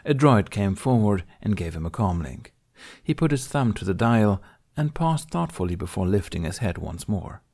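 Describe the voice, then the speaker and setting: A male narrator reads a story from a script. His voice sits low, and the delivery is that of an audiobook. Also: very animated, moderate pace, vocal fry, a little mellow.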